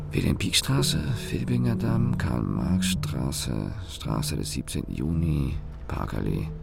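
A cynical man muttering a sardonic inner monologue for a radio drama. His voice is extremely low and creaky, yet it swings in pitch as he curses in clipped fragments. Music plays clearly behind him. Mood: weary. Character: bitter, cynical working man commuting by car, muttering his thoughts. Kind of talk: radio play interior monologue.

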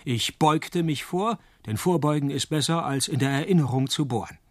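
An actor playing a wry, deadpan, hard-drinking male private detective in a radio play, speaking with dry, laconic wit. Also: fairly high pitch, moderate pace, no echo, close to an everyday voice. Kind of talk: radio play dialogue and first-person noir narration.

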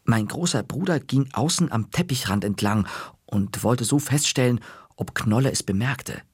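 A man narrating an audiobook in a measured, even storytelling manner, recorded in a studio. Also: mid-range voice, slightly rough, no echo.

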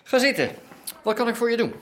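An actor playing a wary, scheming crime boss in a radio drama. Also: male voice, very high voice, expressive, fast, fairly bright tone. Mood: smooth, probing.

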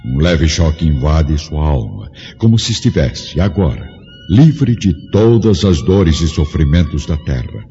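Man, narrator, deep voice, dark mellow tone, dramatic, dull audio. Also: husky. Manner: solemn and dramatic. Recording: dull audio with long reverb and music.

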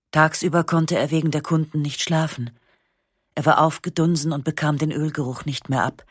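A woman narrates a memoir for radio in a calm, measured way, her voice slightly husky. The studio sound is close and dry, with no room around it, and her pauses are slow and matter-of-fact. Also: sits low, no echo. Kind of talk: literary first-person narration, radio reading.

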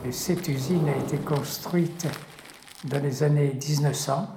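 A man explaining local history in a measured, halting way. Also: fairly high pitch, steady tone, noisy background. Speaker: local witness to factory history. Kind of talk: explanatory talk. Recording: roomy recording with music underneath.

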